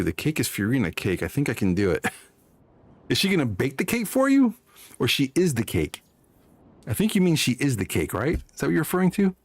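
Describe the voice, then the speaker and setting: Playful male streamer with lively, swooping intonation. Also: medium pitch. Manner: playful, joking. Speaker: game streamer. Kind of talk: casual reaction commentary.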